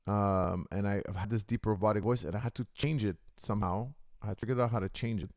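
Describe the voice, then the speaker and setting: A man hosting a casual livestream podcast through a deep robotic voice filter, chatting with viewers and calling them guys. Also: quick pace, a little mellow, no echo, clean studio sound. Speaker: podcast host. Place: Canada.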